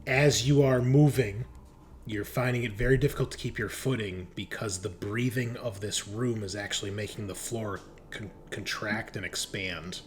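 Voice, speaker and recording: A man narrating a tabletop roleplaying game as game master, describing scenes in a halting, improvised way. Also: lowish voice, steady tone, a little hiss or hum.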